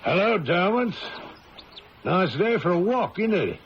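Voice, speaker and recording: A wisecracking bush pilot. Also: male voice, high voice, big pitch swings, measured pace, creaky, highs cut off, full character voice. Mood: easygoing. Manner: breezy, teasing. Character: wisecracking male bush pilot helping police. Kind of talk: 1970s radio drama acting. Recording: hissy old radio broadcast.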